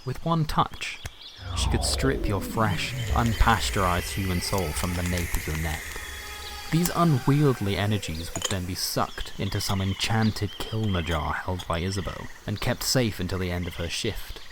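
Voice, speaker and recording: A man narrating a scripted fantasy story in a measured, dramatic way with wry asides. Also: sits low, animated, heavy background noise. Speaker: storyteller, narrator of a fiction podcast.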